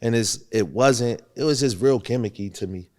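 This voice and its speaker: A man's voice: medium pitch, normal speed. Laid-back young man telling stories. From Buffalo, New York. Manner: relaxed, storytelling, laughs often. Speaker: rapper guest. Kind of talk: casual interview talk.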